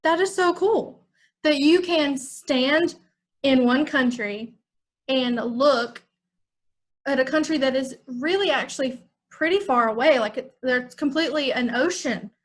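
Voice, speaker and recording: Cheery young american music teacher lady, high voice, chatting away to kids. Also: measured pace. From United States. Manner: upbeat and chatty, talking to young students. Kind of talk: online music lesson for children.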